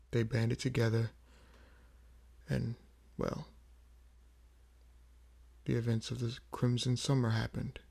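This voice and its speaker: A man performs a weary, proud vampire in an audio drama. The voice is gravelly and creaky, unhurried, and stays on an even, restrained line. He broods through each phrase, leaving heavy pauses. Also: mid-range voice. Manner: measured, brooding, pausing often. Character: weary, proud old vampire with a buried past. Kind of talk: slow dramatic audio roleplay monologue.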